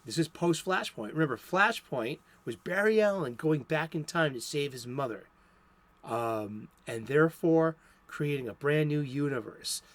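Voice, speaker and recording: Rambling Boston-accented man, bright pitch. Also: lively intonation, unhurried. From Boston area, USA. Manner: rambling, many restarts and fillers. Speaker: comic book show host. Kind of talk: casual solo talk. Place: home studio.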